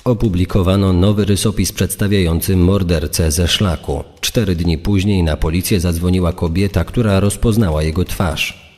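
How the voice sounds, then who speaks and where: A man with a very deep voice narrates a scripted true-crime account. His voice carries a long reverb. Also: steady tone, moderate pace, darkish tone.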